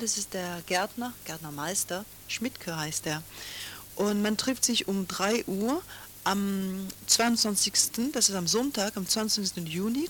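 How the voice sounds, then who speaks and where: A woman, making relaxed, chatty announcements on pirate radio with fillers and self-corrections. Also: mid-range voice, a little hiss or hum. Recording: old radio broadcast with music underneath.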